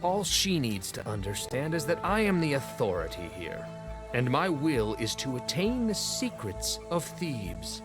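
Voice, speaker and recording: An actor playing a proud, glory-hungry expedition ruler in a video game, pompous, declamatory and commanding. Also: male voice, medium pitch, wildly swooping pitch, unhurried. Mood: imperious. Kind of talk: video game dramatic acting. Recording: music behind the dialogue.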